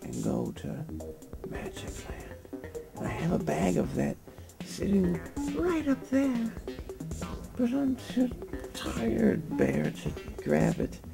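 A sleepy young man lying on a floor, talking in a drowsy, rambling, deadpan way into a nearby webcam. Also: sits high, takes it slowly, creaky, over music. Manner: drowsy, mumbling, deadpan with sudden laughs. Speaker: vlogger. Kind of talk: rambling late-night webcam monologue. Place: lying on a floor in a room indoors.

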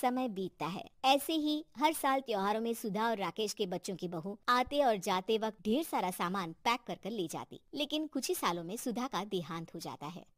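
A woman's voice: medium pitch, animated, normal speed, few pauses, no echo, studio quality. A woman giving a scripted storyteller's narration of a family moral tale.

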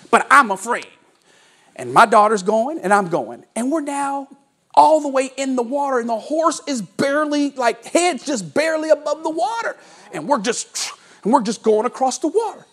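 A man in his forties giving a motivational sales training talk to an office room, with dramatic pauses and storytelling, on a lapel mic while he walks and gestures. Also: extremely high pitch, fairly bright tone. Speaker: sales coach.

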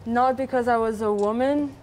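A woman's voice: a little high, steady tone, normal speed, a little mellow, slight background noise. A young woman from Lebanon, a student chef, talking candidly about kitchen work on a live cooking show. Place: large teaching kitchen studio.

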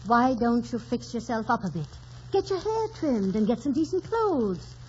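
A woman's voice: on the high side, very animated, moderate pace, a little mellow. An actress playing a frank, motherly housemaid and confidante, plain-spoken and chiding, in a radio drama. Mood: chiding, probing. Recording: dull old radio broadcast, hiss.

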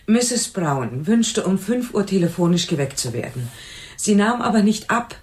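A woman's voice: mid-range voice, sing-song, a little mellow, lightly acted. An actress playing a shaken woman on night reception at a motel, hesitant and flustered, in a radio crime play. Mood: flustered, earnest. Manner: hesitant, flustered, halting recollection. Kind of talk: German radio crime drama acting.

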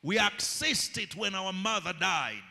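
High-pitched older Ugandan preacher in a reverberant hall. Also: male voice, animated, measured pace, vocal fry, bright, forceful tone. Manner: declamatory, speaking in short emphatic phrases. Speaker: preacher, apostle. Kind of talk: sermon with pauses for an interpreter. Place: large church hall, on stage. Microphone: handheld microphone.

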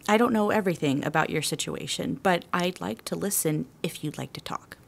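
A woman's voice: on the low side, fairly quick, creaky. A woman playing a kindly, courteous female history professor in an audio drama. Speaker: student actor.

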